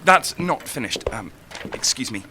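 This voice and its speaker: A man's voice: medium pitch, very animated, moderate pace, crowd noise, natural voice. A man playing a withdrawn, irritable young writer in an audio drama, curt and stammering. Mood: uneasy, irritated. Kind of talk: naturalistic audio drama acting.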